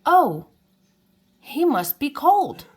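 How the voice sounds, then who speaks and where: Woman voicing a lonely young boy dragon, raised pitch. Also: big pitch swings, very slow, heavily acted. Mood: puzzled. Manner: plaintive, earnest. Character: young lonely male dragon, polite and gentle. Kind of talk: children's storybook character reading.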